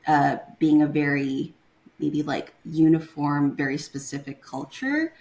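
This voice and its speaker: Low-voiced young woman from Taiwan. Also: little pitch movement, unhurried, gravelly, a little mellow, poor audio. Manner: hesitant, searching for words with many fillers. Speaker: guest. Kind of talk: casual interview conversation.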